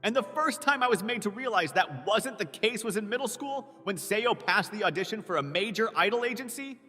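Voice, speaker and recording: Man acting a lively, high-voiced nervous young guy. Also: a little fast, long reverb tail, exaggerated voice. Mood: earnest, wistful. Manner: earnest, self-doubting inner monologue with startled outbursts. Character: nervous, earnest, lovesick young man of twenty-four. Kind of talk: animated comic dub with first-person narration. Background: background music.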